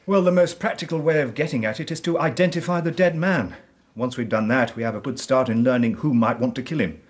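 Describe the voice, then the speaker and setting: An English actor playing a dogged, weary police inspector in a radio drama, blunt and matter-of-fact with a grumbling edge. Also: male voice, medium pitch, fairly quick, close to an everyday voice. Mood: dogged, smug. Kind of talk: British radio drama acting.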